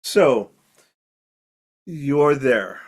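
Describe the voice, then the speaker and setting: Male game master, mid-pitched, unpolished, filler-heavy narration. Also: expressive. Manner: loose, halting narration with many fillers.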